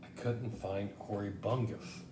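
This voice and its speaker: A woman's voice: steady tone, measured pace. A woman playing a stubborn, eccentric male customer in a comic play, insistent and easily indignant. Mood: stubborn, sullen. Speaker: actor in a student play. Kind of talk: comic stage play dialogue. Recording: roomy echo, low rumble, faint noise.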